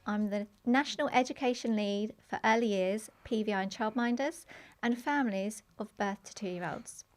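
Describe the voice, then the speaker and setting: A woman hosting an education podcast, introducing her guest and asking questions in a measured way. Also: mid-range voice. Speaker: podcast host, education lead.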